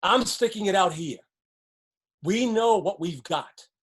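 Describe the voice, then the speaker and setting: Woman acting a wary, husky-voiced flood survivor. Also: medium pitch, animated, unhurried. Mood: firm, defensive. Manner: pragmatic, cautioning, placating. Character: wary, resigned flood survivor clinging to a cramped shelter. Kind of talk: stage play dialogue.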